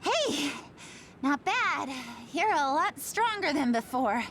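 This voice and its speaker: A woman's voice: high-pitched, sing-song, slow, a bit bright, a little hiss or hum, heavily acted. A woman playing a taunting young female villain in an anime-style video game, mock-sweet and menacing in a playful sing-song. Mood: gleeful menace.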